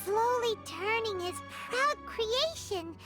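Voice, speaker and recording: An actress voicing a small, childlike companion creature in a video game, high, curious and expressive. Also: female voice, slow, music in the background, heavily acted. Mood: earnest.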